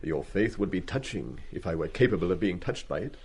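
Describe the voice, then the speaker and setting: An actor in a radio play as a stiff, wounded older schoolmaster who speaks formally and precisely with dry, dignified restraint. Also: male voice, low voice, expressive, fairly quick, darkish tone, breathy edge, heavily acted. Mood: bitter restraint. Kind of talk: radio drama acting.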